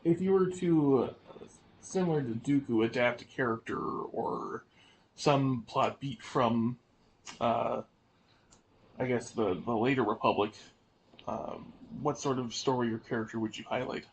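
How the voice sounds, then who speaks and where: A man's voice: medium pitch, unhurried, rough recording. A man co-hosting a podcast in casual discussion, adding thoughts and posing questions. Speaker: podcast co-host. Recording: faint background noise.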